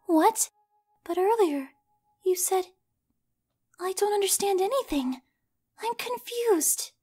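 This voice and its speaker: Very high-pitched, emotional pony girl. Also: lively intonation, takes it slowly, darkish tone, soft background music, full character voice. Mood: confused. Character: gentle young female cartoon pony, wounded and lovesick. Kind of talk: fan audio drama acting.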